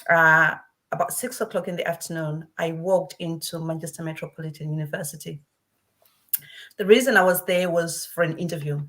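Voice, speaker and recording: A woman's voice: sits low, fairly even intonation, a little fast, a bit bright. A middle-aged woman from Zimbabwe telling her own story in earnest, with frequent restarts. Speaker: guest speaker. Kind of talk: personal testimony.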